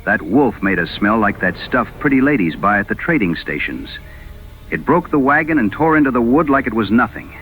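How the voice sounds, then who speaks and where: An actor playing a shaken, wounded frontier wagon escort in an audio western, telling things in folksy, halting phrases. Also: male voice, mid-range voice, big pitch swings, phone-quality sound, soft background music, lightly acted. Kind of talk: dramatized audio western dialogue.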